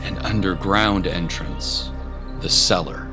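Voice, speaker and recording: A man reading scripted dramatic narration of a fantasy adventure in a measured, deliberate way with pauses for effect. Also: low voice, fairly even intonation, music in the background. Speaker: storyteller, narrator.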